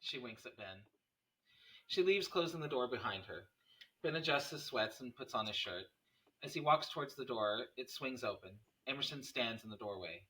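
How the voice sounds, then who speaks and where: A man's voice: medium pitch, expressive, moderate pace, pushed and bright, recorded from a distance, low-quality recording. A man reading stage directions as scripted narration at a screenplay table read.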